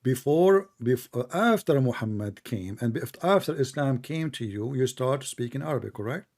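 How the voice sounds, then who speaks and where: A man's voice: medium pitch, expressive, normal speed, a little mellow. A man hosting a religious debate, pressing the caller and repeating his questions insistently. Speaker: debate host.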